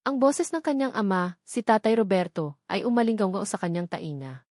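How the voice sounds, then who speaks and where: Lady reading a dramatic love story, emotional delivery, mid pitch, super dry recording. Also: lively intonation, moderate pace, a little mellow, dry recording. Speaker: story narrator.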